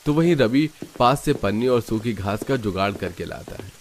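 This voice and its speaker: A man's voice: medium pitch, expressive, moderate pace, a little hiss or hum. A man reading scripted narration for a family-drama cartoon story.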